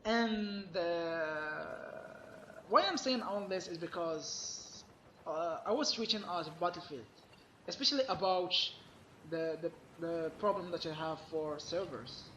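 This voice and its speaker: A man giving an emphatic, complaining gaming commentary while losing his voice, sounding hoarse. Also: fairly high pitch, slow pace, sharp tone, echoey room, a little hiss or hum, poor audio. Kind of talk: solo gaming rant.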